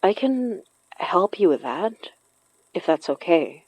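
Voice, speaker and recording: A woman's voice: fairly low pitch, big pitch swings, takes it slowly, a little mellow, slightly rough, no room sound, full character voice, poor audio. Blunt woman, close dry sound. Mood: gentle, offering. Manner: direct, questioning. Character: blunt, knowledgeable woman magic practitioner, probing yet helpful. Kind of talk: naturalistic audio drama acting.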